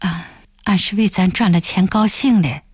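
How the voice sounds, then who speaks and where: A woman voicing a tender, soft-spoken young village wife in an audiobook, using plain rustic wording. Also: fairly low pitch, normal speed, breathy edge, dry recording, studio quality. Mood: gentle, curious. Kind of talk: audiobook character voice.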